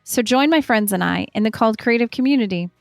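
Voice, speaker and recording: A woman's voice: fairly high pitch, expressive, quick pace, gravelly, dry recording, studio quality, soft background music. A woman hosting a podcast, reading an ad and welcoming a guest in an upbeat, encouraging way. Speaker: podcast host. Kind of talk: scripted ad read and warm interview hosting.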